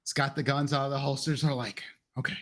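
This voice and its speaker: A man playing a tabletop role-playing game, improvising casually in and out of character with a husky voice. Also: medium pitch, level tone, fast, a crowd in the background.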